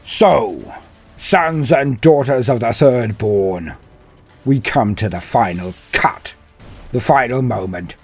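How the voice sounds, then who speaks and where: A man playing a fervent war priest who rallies battered soldiers with declamatory, drawn-out oratory in an audio story. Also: medium pitch, slightly rough, faint music behind, pitched above the performer's own voice. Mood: stern, solemn.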